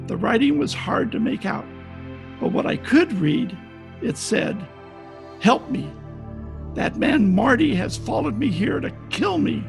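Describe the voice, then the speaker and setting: A man playing a cynical, tough-talking private detective in a wry, deadpan 1940s radio style. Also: very high-pitched, measured pace, vocal fry, heavily acted. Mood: wry, world-weary. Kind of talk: 1940s detective radio acting, narration and dialogue. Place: home, recorded over video call. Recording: video call recording with faint music behind.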